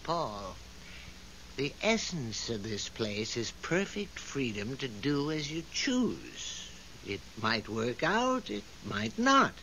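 An actor playing a weary, godlike overseer of the stars in a 1970s radio drama, scolding and long-suffering in a theatrical way. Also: male voice, mid-range voice, big pitch swings, slow pace, sharp tone, exaggerated voice, low-quality recording. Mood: exasperated, lofty. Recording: hissy 1970s radio broadcast recording.

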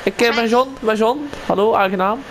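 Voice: mid-range voice, normal speed, a crowd in the background, close to an everyday voice. A player voicing a friendly, casual local townsman in an improvised game roleplay, explaining things hesitantly. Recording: clearly noisy game voice recording. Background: game ambience and vehicle sounds.

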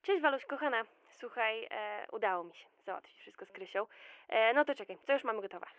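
A woman's voice: fairly high pitch, animated, brisk, heavy background noise, close to an everyday voice. A woman playing a chatty, motherly office worker who soothes and coaxes with endearments in an audio drama. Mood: chatty, pleased. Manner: soothing, coaxing, full of endearments. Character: chatty, motherly female office fixer, reassuring and gossipy. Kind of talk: amateur audio drama acting.

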